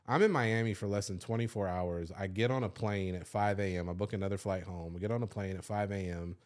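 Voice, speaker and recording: Low-voiced man telling a confession. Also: steady tone, fairly quick. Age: 30s. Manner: loose and confessional, swears freely, many "like" fillers. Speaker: podcast host. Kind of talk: candid personal storytelling.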